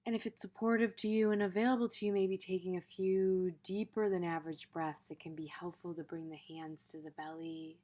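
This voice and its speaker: A woman with a slightly low voice guides a meditation off the cuff. She is gentle and takes her time, leaving pauses and letting the ends of her phrases soften. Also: steady tone. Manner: gentle, unhurried, with pauses and soft trailing phrase endings.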